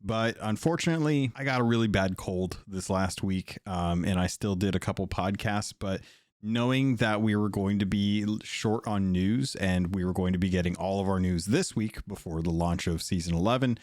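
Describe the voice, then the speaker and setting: A man hosting a podcast in a casual, rambling way, hoarse from a cold and often correcting himself. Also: deep voice, normal speed, darkish tone, dry recording, clean studio sound. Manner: rambling, self-correcting, thankful. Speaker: podcast host.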